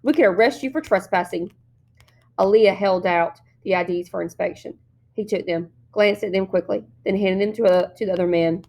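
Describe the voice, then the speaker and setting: Female story reader for novel narration, mid-range voice, expressive delivery. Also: medium pitch, moderate pace, gravelly.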